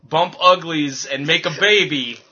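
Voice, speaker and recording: A man trading wisecracks on a casual group chat show. His voice is very bright and sharp, and sits a little high. The recording is dull and lo-fi. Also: measured pace. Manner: jokey, wisecracking. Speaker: podcast co-host.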